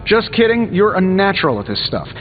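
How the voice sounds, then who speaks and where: An actor voicing a wisecracking genius inventor superhero in a video game, glib and sarcastic. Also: male voice, high voice, very animated, a little fast. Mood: teasing banter. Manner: glib, sarcastic, breezy banter. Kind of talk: video game dialogue acting. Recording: dull game audio, long reverb, music.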